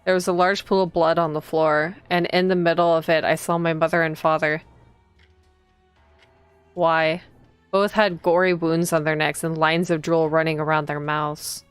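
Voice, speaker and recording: A woman's voice: low voice, clean tone, dry recording. A woman voicing a shaken young noblewoman who tells her story in a dramatic first-person visual novel reading. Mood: horrified.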